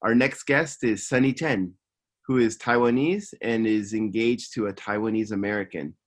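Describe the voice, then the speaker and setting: A man hosting a podcast interview, talking in a relaxed, hesitant way with fillers. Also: on the low side, moderate pace. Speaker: podcast host. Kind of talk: casual interview chat. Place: Japan.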